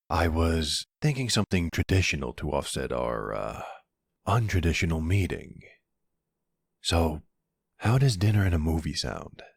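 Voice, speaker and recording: A man acts out a mafia boss smitten with his date, in a very deep, husky voice. He takes his time over every phrase, courteous and tender. His hushed, hesitant earnestness suits an intimate ASMR romance roleplay. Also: no room sound, clean studio sound, heavily acted. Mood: flirty, eager. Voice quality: low, gravelly, hushed. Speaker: audio roleplay voice actor.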